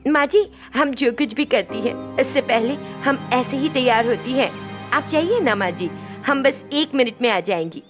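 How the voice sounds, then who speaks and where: A woman's voice: high voice, expressive, normal speed, over music. A woman voicing a vain young daughter-in-law in a storybook tale, sweetly coaxing, deferential and evasive. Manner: deferential, placating. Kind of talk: acted dialogue in a Hindi moral story.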